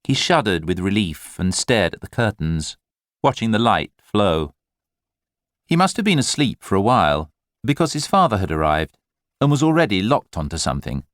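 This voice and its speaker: A male audiobook narrator reads scripted literary fiction. His voice sits fairly low and moves with very lively intonation. The delivery is measured and expressive, and the sound is very dry. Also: no room sound.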